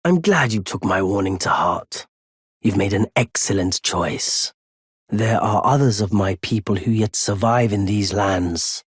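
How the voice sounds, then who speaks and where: An actor playing a weary, courteous nomadic merchant in a video game, husky and breathy, with a measured, wry and understated manner. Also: male voice, fairly low pitch, expressive, no echo, clean studio sound. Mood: courteous, welcoming. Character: weary, courteous nomadic merchant man with a quietly unforgiving streak. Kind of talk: video game character dialogue.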